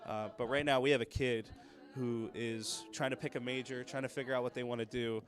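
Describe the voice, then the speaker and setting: A man's voice: medium pitch, level tone, brisk, faint music behind. A young man reporting from a university campus, talking chattily straight to the viewer. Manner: chatty, direct to camera. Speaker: student reporter. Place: campus outdoors and hallway.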